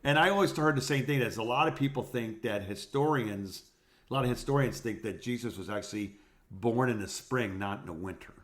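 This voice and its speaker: A man co-hosting a podcast in casual conversation, telling things in an animated way and often repeating himself. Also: medium pitch, a little fast. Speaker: podcast co-host.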